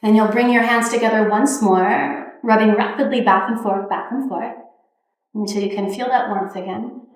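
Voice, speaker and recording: A woman leading a guided meditation, giving gentle, soothing instructions in an unhurried way with pauses. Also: medium pitch, steady tone. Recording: very echoey, reverberant room.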